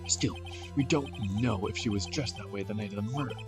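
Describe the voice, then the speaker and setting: A man's voice: lowish voice, fairly quick, natural voice. A man voicing an earnest young male defense lawyer, arguing emphatically. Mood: pressing. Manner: animated, emphatic argument. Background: game music.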